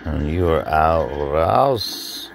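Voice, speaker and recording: A man's voice: a bass voice, lively intonation, extremely slow, vocal fry. A young man from the Los Angeles area giving wry, casual commentary over videos, swearing and laughing now and then.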